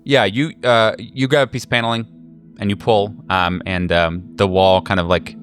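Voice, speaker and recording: Guy running a tabletop rpg, lowish voice, loose jokey narration, swears, bit of music under it. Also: steady tone, normal speed, fairly bright tone. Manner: informal, jokey, profane. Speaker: game master. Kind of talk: casual tabletop game narration.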